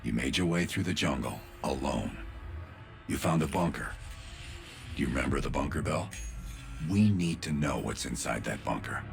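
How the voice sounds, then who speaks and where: Low-voiced covert team leader. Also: male voice, expressive, moderate pace, creaky, long pauses, faint music behind, heavily acted. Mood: commanding, probing. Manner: controlled, authoritative. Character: cool, commanding male covert operations team leader. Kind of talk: cinematic spy game mission briefing.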